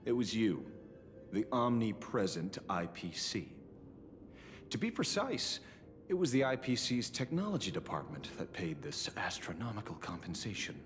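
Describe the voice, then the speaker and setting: A man's voice: fairly low pitch, sing-song, normal speed. An actor playing a wry, dogged male detective in a video game, sardonic and defiant, with theatrical, pointed phrasing. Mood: probing, knowing. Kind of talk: scripted video game voice acting. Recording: long reverb, music behind. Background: music.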